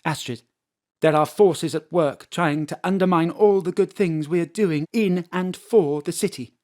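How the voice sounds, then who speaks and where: A brisk female mayor in a radio play, played by a woman, formal and crisply polite but ready to speak bluntly. Her voice sits a little low. She is recorded on a home studio mic. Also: a little mellow, lightly acted. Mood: businesslike.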